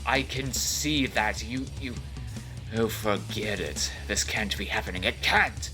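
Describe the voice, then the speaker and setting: A man's voice: lowish voice, a little slow, music in the background, lightly acted. A man playing a brooding supervillain in an audio drama, with a commanding, menacing manner. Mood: frustrated disbelief. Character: brooding male supervillain at his computer console.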